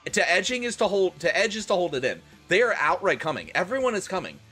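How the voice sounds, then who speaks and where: Bright, animated male streamer, high-pitched. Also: fast, slightly rough, faint music behind. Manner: crude, joking, theatrical. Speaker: livestream host. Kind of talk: livestream banter and dramatic reading of fan fiction.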